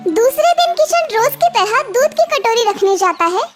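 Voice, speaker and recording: A woman's voice: very high-pitched, very animated, normal speed. A woman narrating a scripted children's moral story as the storyteller. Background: loud children's music.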